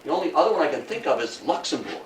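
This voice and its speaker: Older guy giving a history talk, slightly high voice, dramatic storyteller, recorded from off in a roomy hall. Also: very animated. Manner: theatrical, emphatic, with dramatic pauses and rhetorical questions. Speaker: history lecturer. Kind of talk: public lecture with storytelling. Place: indoor talk room before an audience. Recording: roomy, distant mic, faint noise.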